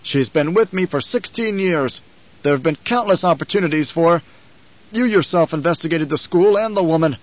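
A man's voice: fairly high pitch, normal speed, muffled recording, pitched above the performer's own voice, lightly acted. A man voicing a proud, stern and weary nobleman in an audiobook, with an authoritative, clipped delivery. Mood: angry, defiant.

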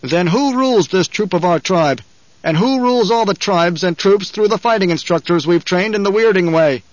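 A man's voice: high voice, lively intonation, normal speed, no room sound, dull audio, voice pushed up. A man voicing a defiant young male rebel leader, speaking in a commanding, oratorical way in an audiobook. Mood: commanding, questioning. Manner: commanding, raised oratorical delivery. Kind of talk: dramatic audiobook character voice.

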